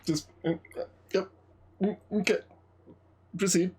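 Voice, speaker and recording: A man's voice: sits high, fairly even intonation, slow pace, a little mellow, slight background noise. A man giving casual video game commentary as a streamer, musing in muttered asides.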